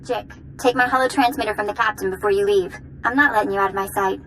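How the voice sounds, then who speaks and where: A woman's voice: medium pitch, fairly even intonation, fast, fairly bright tone, slight background noise, close to an everyday voice, rough recording. A woman acting as a tough, wary underworld local in a sci-fi video game, blunt and dramatic. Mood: urgent.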